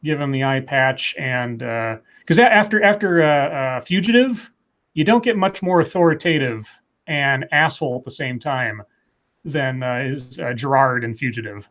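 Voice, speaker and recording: A man's voice: mid-range voice, expressive, normal speed, fairly bright tone. A middle-aged man giving casual opinions in an emphatic, sweary way. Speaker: podcast guest.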